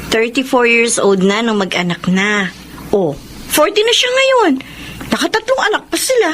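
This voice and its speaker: A woman's voice: a little high, very animated, normal speed, fairly bright tone, slight background noise. A woman playing a stubborn, evasive young career wife, hesitant and whiny, in a radio drama. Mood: defensive. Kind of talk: Filipino radio drama acting.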